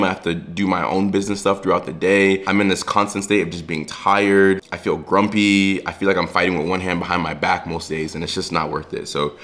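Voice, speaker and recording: Deep-voiced young man vlogging. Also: a bit bright. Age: 20s. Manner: earnest, addresses viewers as guys. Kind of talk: casual direct-to-camera talk. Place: Los Angeles.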